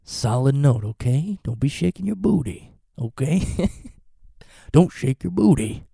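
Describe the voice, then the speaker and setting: A man's voice: mid-range voice, big pitch swings, unhurried, creaky, dark tone, slightly rough, no echo, studio quality. A man in his twenties with a stuffy cold, chatting casually in a vlog and wandering off into goofy tangents. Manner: rambling, goofy tangents and jokes. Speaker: vlogger and touring band musician.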